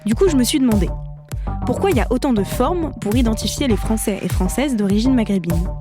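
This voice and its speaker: A woman in her twenties from France, hosting a podcast in measured, reflective scripted narration. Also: a little high, animated. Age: 20s. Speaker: podcast host. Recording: narration over clear music.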